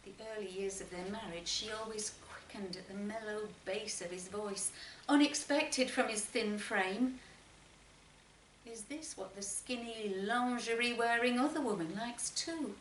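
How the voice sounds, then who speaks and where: A woman reading literary fiction aloud in an expressive, measured narration with emphatic phrasing. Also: medium pitch. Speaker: short story reader. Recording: roomy, distant mic, faint noise.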